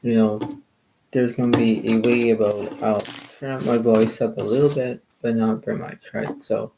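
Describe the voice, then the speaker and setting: A soft-spoken man, a little hoarse, hosting a card game and rambling in repeated filler phrases. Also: fairly low pitch, little pitch movement, normal speed, background noise. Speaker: channel host playing cards. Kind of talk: casual rambling talk to camera.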